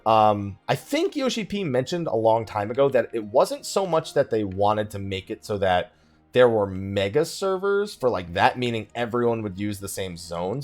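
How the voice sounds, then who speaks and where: A man with a slightly low, very animated voice talks through a game in a casual, rambling way. He thinks aloud and drifts onto side topics, with music playing underneath. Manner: rambling, thinking aloud, often sidetracking himself. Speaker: gaming video creator and live streamer. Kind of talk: casual let's play commentary, rambling.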